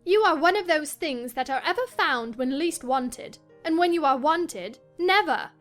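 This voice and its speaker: A woman's voice: high voice, animated, measured pace, fairly bright tone, voice pushed up. A woman voicing a passionate, delirious, headstrong young wife with a scornful, commanding, dramatic manner in a classic novel reading. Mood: bitter, feverish. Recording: music behind the voice.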